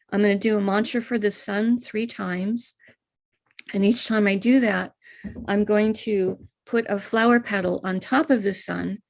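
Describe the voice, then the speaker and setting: Gravelly-voiced American woman guiding calmly. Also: medium pitch, no echo. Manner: calm, gentle step-by-step guidance. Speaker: spiritual teacher leading a ritual. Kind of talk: live-streamed ritual instruction.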